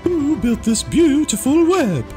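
A storybook narrator voicing friendly farm animals with drawn-out calls and inviting questions. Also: high-pitched, big pitch swings, warm tone. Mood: curious. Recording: music behind the voice.

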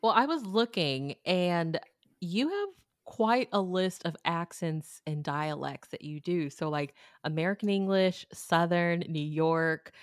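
A woman's voice: on the low side, lively intonation, slow pace, no room sound, clean studio sound. A woman hosting a podcast, asking her guests curious questions. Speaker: podcast host. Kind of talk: interview questions.

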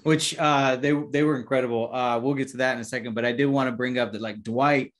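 A man's voice: mid-range voice, fairly even intonation, quick pace. A man in his thirties interviewing a guest over a video call, chatty and rambling with lots of "you know". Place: home room with posters.

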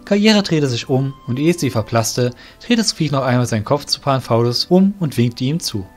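A man narrating an audio drama in a steady, measured way. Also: medium pitch, soft background music.

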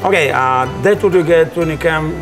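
A middle-aged man from Poland speaks English with a Polish accent, searching for words and laughing easily. His voice is somewhat high and gravelly. Lively music plays in the background of the restaurant. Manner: halting English with fillers, easy laughs. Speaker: restaurant manager. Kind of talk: on-camera interview. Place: large log-cabin style restaurant, indoors.

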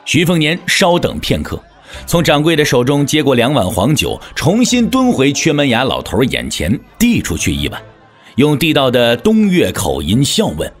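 A man narrating an audio drama as scripted storytelling, in a measured, theatrical manner. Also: mid-range voice, wildly swooping pitch, faint music behind.

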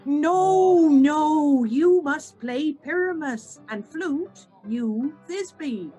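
A woman playing an earnest organiser of amateur players in a Shakespearean radio play, officious and deliberate in her announcing. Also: high voice, expressive, warm tone, faint music behind, heavily acted. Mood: firm.

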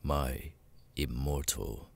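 A man voicing a cold, arrogant immortal demon lord in an ASMR roleplay, speaking with deliberate, condescending menace. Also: exaggerated voice. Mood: cold threatening. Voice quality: very deep, gravelly, husky. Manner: slow, deliberate, condescending, with long pauses.

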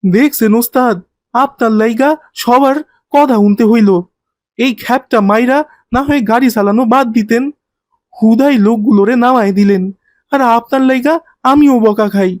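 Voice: medium pitch, normal speed, a little mellow, no room sound, lightly acted. An actor voices a hardworking cartoon boy who helps on a city minibus. He talks in plain, colloquial Bangladeshi speech with an aggrieved, protesting edge. The sound is close and dry. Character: hardworking ten-year-old boy, minibus helper, aggrieved. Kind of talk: cartoon voice acting.

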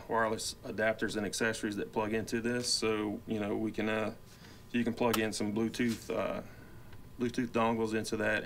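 A man's voice: lowish voice, fairly bright tone. A man in his forties giving an informal product walkthrough as a company expert, conversational and explanatory, with somewhat hesitant phrasing. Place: indoor office workbench. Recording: clear background room noise.

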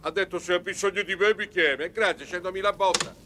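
An actor playing an exasperated, bossy but caring friend, scolding and coaxing in colloquial in a comedy film. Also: male voice, high voice, fast, bright, forceful tone, slight background noise. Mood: wry grumbling. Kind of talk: Italian film comedy acting.

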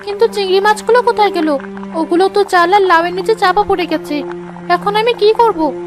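A woman's voice: very high voice, a little fast, music in the background. A woman voicing an anxious, timid young daughter-in-law in a cartoon, fretful and full of self-doubt. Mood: panicked, worried. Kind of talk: cartoon story voice acting.

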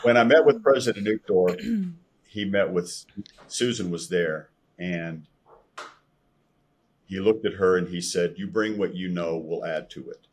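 Deep, husky older American man. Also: lively intonation, measured pace, long pauses. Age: older, around 60. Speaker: podcast guest. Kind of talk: personal testimony storytelling. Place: room at home, indoors. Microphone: video call, computer microphone at desk distance.

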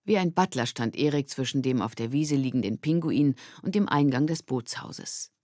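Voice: mid-range voice, expressive, moderate pace, a little mellow, no room sound, studio quality. A narrator reading a scripted children's story. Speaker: audiobook narrator.

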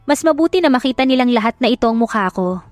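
A woman voicing a bitter, defiant young bride with a sharp-tongued, emphatic delivery in an audio drama. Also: fairly high pitch, animated, fast talker, no echo, soft background music, natural voice. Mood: resigned, bitter.

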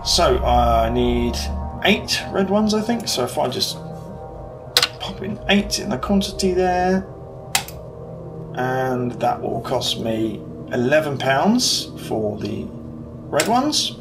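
A man's voice: medium pitch, big pitch swings, slow pace, pauses often. A British man in his thirties, casually walking through his home cockpit build, thinking aloud with ums as he shopping-lists parts. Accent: British English. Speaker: hobbyist YouTuber. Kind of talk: casual build vlog walkthrough. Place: living room at home. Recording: clear background music under voice. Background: background music.

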